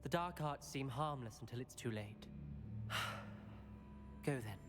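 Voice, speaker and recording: Grave, anxious schoolboy wizard. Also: male voice, mid-range voice, lively intonation, slow pace, background noise. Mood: wary resignation. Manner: grave, pleading. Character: wary, principled, anxious teenage schoolboy wizard. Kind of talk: dramatic video game voice acting.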